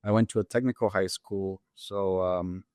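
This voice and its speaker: A man's voice: deep voice, fairly even intonation, moderate pace, a little mellow, no echo, studio quality. Earnest man. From Latin America. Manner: hesitant, many fillers, earnest. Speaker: guest, engineer. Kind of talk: interview answers.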